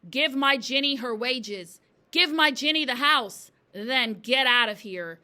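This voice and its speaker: A woman voicing a commanding, menacing male ghost from a storybook in slow, emphatic words. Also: high-pitched, lively intonation, bright, forceful tone, full character voice.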